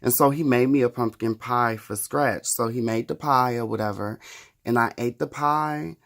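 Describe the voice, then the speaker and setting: A man's voice: mid-range voice, normal speed, fairly bright tone. A man in his thirties chatting casually to the camera in a wry, profane, chatty way. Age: 30s. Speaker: vlogger.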